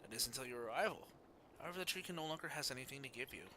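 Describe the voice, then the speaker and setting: A man's voice: medium pitch, lively intonation, racing, pushed and bright, slight background noise. A man hosting a casual game livestream, chatting and reading story text aloud. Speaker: video game streamer.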